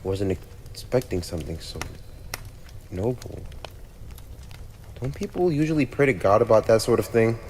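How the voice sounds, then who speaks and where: Man playing a smug, low-voiced teenage demon prince. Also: expressive, normal speed, pauses often, a little mellow, natural voice. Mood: pleased, generous. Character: teenage demon prince of hell, smug, teasing, playfully menacing. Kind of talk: ASMR roleplay monologue addressed to the listener. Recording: echoey reverberant room with clear background noise.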